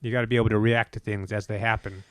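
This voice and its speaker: A man's voice: fairly low pitch, flat delivery, rapid-fire, dry recording. A man co-hosting a podcast in a laid-back, agreeable way, chiming in with folksy advice. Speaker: podcast co-host. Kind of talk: casual chat.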